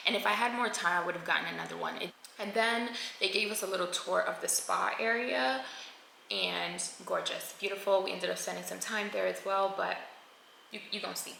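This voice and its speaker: A woman's voice: medium pitch, a little fast, gravelly, sharp tone. A woman in her twenties narrating a casual travel vlog in a chatty, slangy way, talking to viewers like friends. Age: 20s. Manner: chatty and slangy, addressing viewers as girl and honey. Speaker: vlogger. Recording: roomy echo with faint noise.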